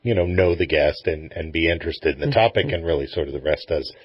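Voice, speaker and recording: A man's voice: rumbling low, fast, slightly rough, no room sound. A man hosting a radio talk show, chatting casually in an informal, self-deprecating way. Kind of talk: casual interview chat. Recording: muffled, dull lo-fi audio.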